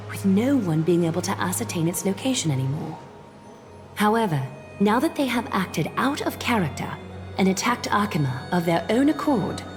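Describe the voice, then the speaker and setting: An actress playing a serene, regal leader of a holy city in a video game, speaking in a formal, measured way. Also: female voice, lowish voice, lightly acted. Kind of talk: video game character acting. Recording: loud game music behind. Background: music.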